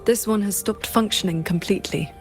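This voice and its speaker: A woman playing a stoic, formal swordswoman and stern mentor in a video game, speaking in a measured, restrained way. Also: medium pitch, a little mellow, faint music behind, close to an everyday voice. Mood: composed. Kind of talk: video game character dialogue.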